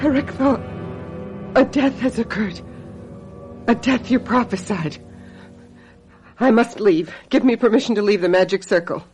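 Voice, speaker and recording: An actress playing a sharp-tongued, suspicious modern witch in a radio mystery, arch and dramatic. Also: on the high side, measured pace, long pauses, faint music behind, close to an everyday voice. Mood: urgent, solemn. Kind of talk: old-time radio mystery drama acting.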